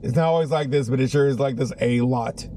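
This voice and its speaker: Male vlogger, raspy strained voice, rambling casual talk, noisy car recording. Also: medium pitch, a little fast, mellow. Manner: rambling, conversational, many restarts. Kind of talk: casual vlog chat.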